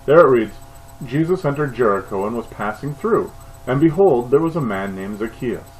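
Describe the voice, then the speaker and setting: A man's voice: mid-range voice, animated, moderate pace, slight background noise, poor audio. A man in his forties, a pastor, preaching a sermon and reading scripture aloud with earnest emphasis, seated on a couch at home in front of a webcam. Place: living room, seated on a couch, re-recorded at home. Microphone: webcam at close range.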